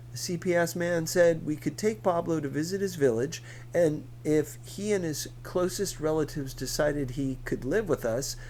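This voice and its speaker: A man's voice: mid-range voice, darkish tone. Male audiobook reader over noisy background hum. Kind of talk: scripted narration of a first-person novel.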